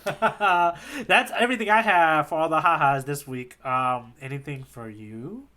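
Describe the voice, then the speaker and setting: A man's voice: a little high, unhurried, background noise. A man co-hosting a podcast, chatting casually about comics in a rambling way that often repeats words. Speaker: podcast co-host. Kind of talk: casual chat about a manga.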